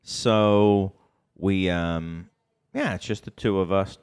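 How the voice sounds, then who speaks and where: Man, low voice, casual podcast co-host, rambling, restarts, echoey reverb. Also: takes it slowly, a little mellow, clear, resonant tone. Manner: rambling, lots of ums and restarts. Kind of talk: casual chat.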